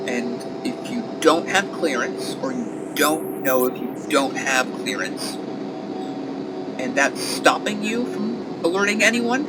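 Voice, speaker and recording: A man's voice: on the high side, expressive, slow. A man playing a nervous, rambling scientist in an audio drama, stammering and apologetic as he radios in reports. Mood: flustered, pleading. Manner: stammering, apologetic, flustered, awkwardly self-correcting. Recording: heavy noise over a radio transmission.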